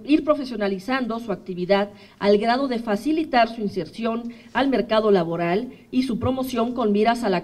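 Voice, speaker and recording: A woman in her thirties with a Mexican accent, reading a formal statement at a podium microphone for a human rights commission, measured and steady. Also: a little high. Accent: Mexican Spanish. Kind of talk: formal statement read aloud. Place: indoor press room, at a podium.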